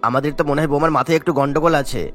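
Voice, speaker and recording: A man's voice: fairly high pitch, fairly even intonation, very fast, fairly bright tone, lightly acted. A man voicing a grumbling older father-in-law in a cartoon. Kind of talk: cartoon voice acting.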